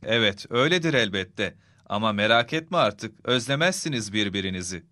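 A man voicing a patient, affectionate father in a children's cartoon, speaking gently and reassuringly. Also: lowish voice, expressive, fairly quick, dry recording, studio quality. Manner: gentle, reassuring, clearly enunciated.

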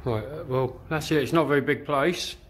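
A man casually narrating as he walks through a derelict countryside house, thinking aloud in a quiet, musing way. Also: a little high, brisk, slight background noise. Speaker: urban explorer vlogger. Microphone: handheld camera, filming while walking.

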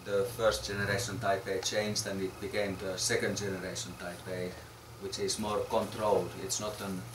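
Hesitant man, low flat voice. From Finland. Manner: halting, many fillers and repeated words. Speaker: architect presenting a research project. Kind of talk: informal talk to a small group. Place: small crowded room, indoors. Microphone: far camera. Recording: roomy, distant, noisy room.

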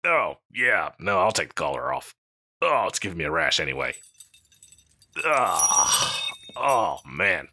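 A man playing a scruffy, insecure slacker catboy pet in a comedic audio roleplay, with a halting, mumbly dude drawl. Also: low-pitched, sing-song, pushed and bright, exaggerated voice. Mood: laid-back, teasing.

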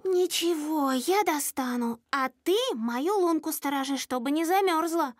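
A woman's voice: sits high, a little slow, background noise. A woman voicing a capable young female seal in a cartoon, matter-of-fact and a little bossy. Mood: confident, bossy. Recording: music behind the voice.

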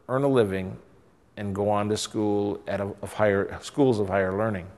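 Older man, bishop, earnest pastoral appeal, church reverb. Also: mid-range voice. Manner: earnest, exhorting, rising and falling emphasis. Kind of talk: pastoral address to camera. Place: church interior by stained glass windows.

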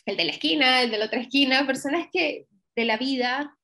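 A young woman from Venezuela with a Venezuelan accent, a voice actress chatting as a guest over a video call, lively and laughing often. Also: sits high, brisk. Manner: lively, laughs often, acts out quoted lines. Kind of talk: casual interview chat. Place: home room, video call.

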